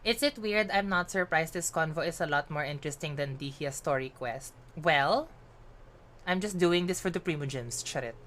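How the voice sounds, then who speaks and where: Chatty Filipino woman, bright voice. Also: sits low, moderate pace, slight background noise. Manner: chatty, ranting, mixing English with Tagalog. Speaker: livestreamer. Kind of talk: casual gaming stream chat.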